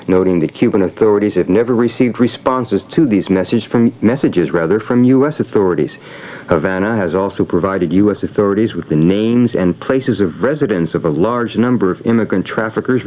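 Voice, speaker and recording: Male radio newsreader, lowish pitch, formal and measured scripted delivery, heard through thin shortwave band. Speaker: radio news bulletin reader. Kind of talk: scripted news reading. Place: radio studio. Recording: narrow tinny shortwave radio broadcast.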